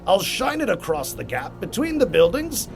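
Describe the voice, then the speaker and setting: A man playing an insane, taunting villain clown in a comic-book drama, theatrical and rambling, lurching between hushed musing and shrill mania. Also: wildly swooping pitch, moderate pace, gravelly, over music, heavily acted. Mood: wry storytelling. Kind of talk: comic-book motion comic acting.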